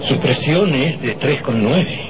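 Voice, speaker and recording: A man's voice: mid-range voice, lively intonation, a little slow, background noise, close to an everyday voice. Man acting a sober official, muffled old recording. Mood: sober, uncertain. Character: matter-of-fact male town doctor and police captain. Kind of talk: old radio drama acting.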